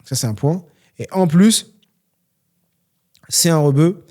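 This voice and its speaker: A man's voice: on the high side, expressive, takes it slowly, darkish tone. A young man from France, talking earnestly and motivationally on a solo question-and-answer podcast, with many fillers. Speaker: podcast host and trainer.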